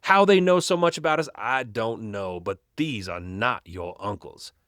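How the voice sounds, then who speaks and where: A man voicing a stern, suspicious older male town doctor who speaks gravely with a folksy drawl. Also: lowish voice, a little slow, a bit bright, dry recording, clean studio sound. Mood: warning.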